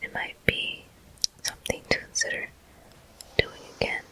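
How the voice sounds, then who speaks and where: A person whispering ASMR game commentary in a deliberate manner, pausing between short phrases. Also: sharp tone, poor audio. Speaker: gameplay host.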